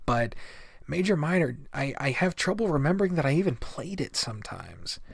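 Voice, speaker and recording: Man, middle pitch, casual rambling review, blunt and profane, very dry recording. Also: creaky, dry recording. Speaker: video game reviewer, host.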